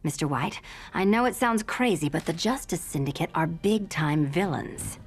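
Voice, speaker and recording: A woman voicing an earnest, no-nonsense female character in an animated video game, insistent in delivery. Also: on the low side, moderate pace, soft background music. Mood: pleading.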